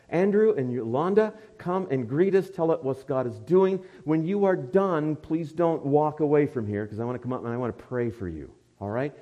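A man's voice: mid-range voice, dark tone. A man speaking as a pastor at a church service, introducing visiting missionaries with light joking.

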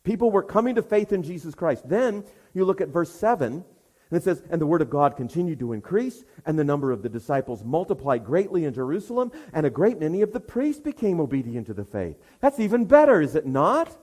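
A man preaching an expository sermon in an emphatic manner, with rhetorical questions and deliberate pauses for weight. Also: on the high side, sing-song, darkish tone, breathy edge.

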